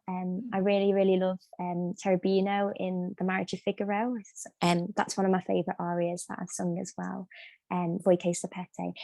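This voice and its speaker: A woman's voice: mid-range voice, mellow, no room sound. Young scouse woman chatting, lots of ums and restarts, dead dry mic. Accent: Liverpool (Scouse), light. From Liverpool, England. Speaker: guest, soprano singer. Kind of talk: casual interview chat.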